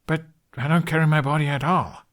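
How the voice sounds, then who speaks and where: A man's voice: fairly high pitch, fairly even intonation, a little fast, a bit bright, voice pushed up, close to an everyday voice. A man voicing an earnest, baffled teenage boy in an audiobook, with a hesitant, argumentative way of talking. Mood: confused, doubtful. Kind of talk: audiobook character acting.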